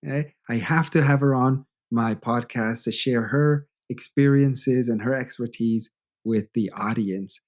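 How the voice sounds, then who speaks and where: A man with a Canadian accent hosts a podcast at an ordinary, middling pitch. He chats eagerly and tags sentences with quick checks for agreement. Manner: enthusiastic, chatty, often adds "right?". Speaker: podcast host, English teacher. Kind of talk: casual interview chat.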